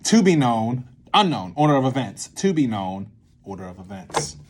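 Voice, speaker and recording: A man's voice: medium pitch, sing-song, normal speed, darkish tone. A man giving an informal, improvised tutorial, rambling playfully and thinking aloud with asides to himself. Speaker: theatre maker presenting a creative method series.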